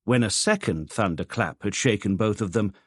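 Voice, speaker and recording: A man's voice: fairly low pitch, moderate pace, dry recording, clean studio sound. A man reading a literary novel as an audiobook narrator.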